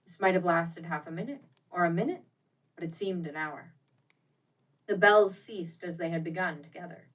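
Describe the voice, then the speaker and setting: A woman with a fairly low voice reads a classic storybook tale. Her delivery is theatrical and expressive, with each phrase set out deliberately. She sounds a little way back from the microphone. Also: distant mic, poor audio. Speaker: audiobook reader. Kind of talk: dramatic storybook narration of a classic ghost tale.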